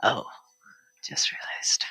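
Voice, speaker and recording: A man giving casual, low-key live commentary as he streams a game, muttering brief reactions. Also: takes it slowly, bright, forceful tone, dry recording, in a whisper. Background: game music.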